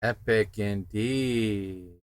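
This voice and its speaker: A man's voice: on the low side, extremely slow, over music. A man from the United States streaming a game, chatting casually and drifting off into digressive stories. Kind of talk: casual rambling chat while streaming a game.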